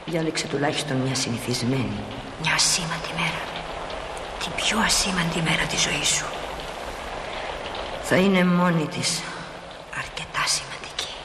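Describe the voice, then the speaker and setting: A woman's voice: sits low, pauses often, lightly acted. Actress as patient dead mother, solemn and soothing, stage play, echoey with a lot of background noise. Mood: firm, solemn. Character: dead mother, older woman, calm, patient, gently firm. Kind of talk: solemn stage drama acting. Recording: noisy, echoing old drama recording.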